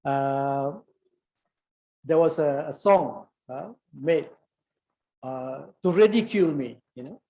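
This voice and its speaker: A man's voice: fairly high pitch, slow pace, pauses often, warm tone, rough recording. Malaysian guy in his 60s, doctor telling stories at a conference, lots of restarts. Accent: Malaysian English. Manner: storytelling, frequent word repeats and restarts. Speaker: doctor and alumni conference speaker. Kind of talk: anecdotal conference talk.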